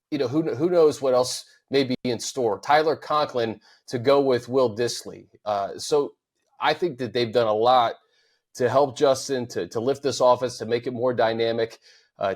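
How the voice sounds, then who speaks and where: A man hosting a sports talk show, laying out his points in a steady, methodical way. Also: mid-range voice, measured pace. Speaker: host.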